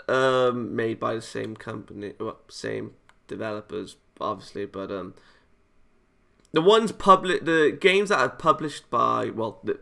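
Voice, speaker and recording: A man hosting a gaming video, reading a visual novel aloud and giving casual, rambling commentary in a halting way. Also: mid-range voice, creaky, pushed and bright.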